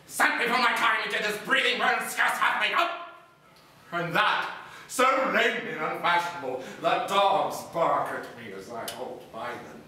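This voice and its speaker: A man's voice: sits high, bright, forceful tone, heavily acted. Scheming villain king, very echoey stage. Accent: Spanish. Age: middle-aged. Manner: declamatory, exaggerated, volatile. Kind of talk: theatrical stage monologue. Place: large indoor theater stage. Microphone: distant camera. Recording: echoey theater stage from far off.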